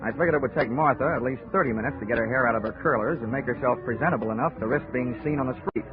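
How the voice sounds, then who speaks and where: A man's voice: medium pitch, racing, natural voice. A man playing a wry hard-boiled investigator. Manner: dry, wisecracking narration and blunt tough-guy talk. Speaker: narrator and lead. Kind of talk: 1940s radio acting. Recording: tinny old radio broadcast with music.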